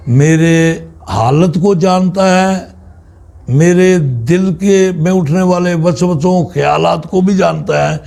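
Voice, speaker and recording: A man with a Pakistani accent giving a religious teaching talk as a spiritual guide, in deliberate, halting phrases with pauses. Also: a little high, vocal fry, mellow.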